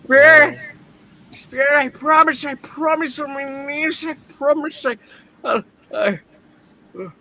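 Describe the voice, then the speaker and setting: An actor playing a frightened, remorseful old miser in a theatrical radio play reading, with dramatic, halting, pleading delivery. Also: high voice, sharp tone, full character voice, low-quality recording. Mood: desperate pleading. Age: older.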